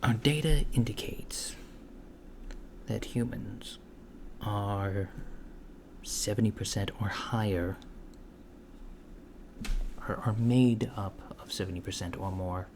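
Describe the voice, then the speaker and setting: Soft-spoken, low-voiced man as an alien researcher. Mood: matter-of-fact, curious. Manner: halting, inquisitive, matter-of-fact, often slipping into whispers. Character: curious, puzzled alien researcher questioning an abducted human. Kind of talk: soft-spoken ASMR roleplay. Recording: clear background noise with keyboard typing. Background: computer keyboard typing.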